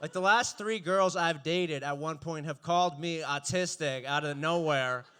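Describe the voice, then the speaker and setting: A young man doing a stand-up comedy set, with a dry, deadpan delivery that breaks into laughs. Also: on the high side, normal speed, smooth.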